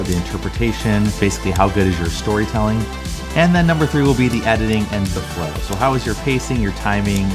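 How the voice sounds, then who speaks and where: Male vlog host, lower-pitched voice, creator presenting style, clear music underneath.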